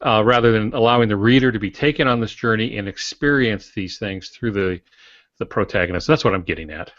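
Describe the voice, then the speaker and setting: An older man co-hosting a podcast, giving conversational advice in a measured, instructive way with dry humour. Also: on the low side, fairly bright tone, dry recording. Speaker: podcast co-host. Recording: dry, slightly muffled lo-fi audio.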